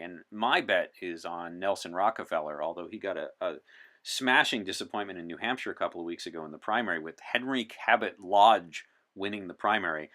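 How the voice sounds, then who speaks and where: Male interview guest, deep voice with a forceful edge, articulate and opinionated. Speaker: podcast guest. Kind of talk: in-character interview as a time traveler from 1964.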